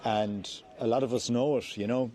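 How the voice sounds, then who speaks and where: An Irish man talks as he walks outdoors, earnest and measured, often going back to restart a phrase. His voice is mellow and dark, at a middling pitch. Faint outdoor noise sits under the talk. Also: fairly even intonation. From Ireland. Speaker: vlogger. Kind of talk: walking vlog, opinion talk. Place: outdoors on slippery ground near a stream.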